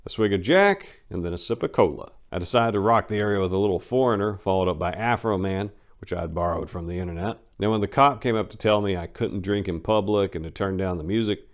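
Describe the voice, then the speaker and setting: A man's voice: sits low, fast talker, few pauses. A man narrating a first-person memoir as a dramatic reading, in a brash, wry, colloquial storytelling manner.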